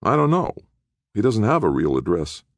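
An indignant, anxious accused man played by a male narrator in an audiobook. His voice is pushed well up in pitch and swings widely as he protests and pleads. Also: very animated, moderate pace, dry recording. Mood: defensive. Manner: agitated, protesting.

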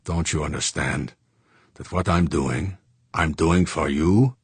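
A man's voice: takes it slowly, breathy edge, no room sound, exaggerated voice. Grim, stubborn rebel, done by a male narrator, dry and close. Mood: cold. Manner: clipped, low and intense. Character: hard, stubborn space-rebel man.

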